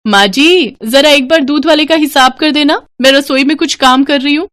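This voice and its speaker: A woman's voice: high voice, moderate pace, a bit bright, voice pushed up. A woman voicing a kind, dutiful young daughter-in-law in a story, polite and solicitous. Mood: cheerful.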